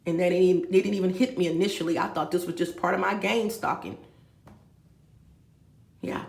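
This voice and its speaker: A woman's voice: lowish voice, steady tone, fairly quick, gravelly, a little mellow, echoey room. A woman, rambling and talking straight to her viewers with many asides. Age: 50s. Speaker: vlogger. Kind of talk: rambling confessional vlog. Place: room with a bed, indoors.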